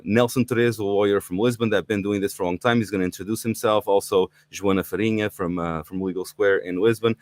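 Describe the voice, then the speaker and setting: A man's voice: low voice, dry recording. A middle-aged man from Portugal hosting a live online talk, eager and inviting, with frequent fillers and restarts. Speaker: livestream host. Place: indoors in Portugal.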